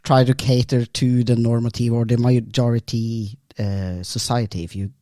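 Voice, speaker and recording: A man's voice: mid-range voice, flat delivery, normal speed, warm tone, no room sound, studio quality. A Norwegian man hosting a podcast interview in English, his second language, talking in a hesitant way with many fillers. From Norway. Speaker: podcast host.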